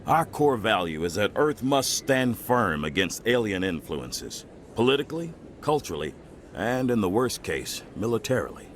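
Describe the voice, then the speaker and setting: An actor playing an eager, polished, stubbornly nationalist politician in a video game, speaking in an oratorical, politely insistent way. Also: male voice, fairly low pitch, sing-song, moderate pace, a little hiss or hum. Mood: firm, preaching. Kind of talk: video game dramatic dialogue. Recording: music behind the voice.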